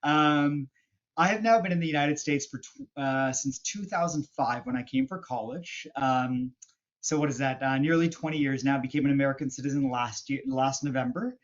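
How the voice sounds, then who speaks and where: A man's voice: medium pitch, moderate pace. A man in his thirties from western Canada with a light Canadian accent, a guest on a podcast, talking reflectively with many restarts and fillers. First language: Hindi. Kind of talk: casual interview conversation. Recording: muffled remote podcast audio.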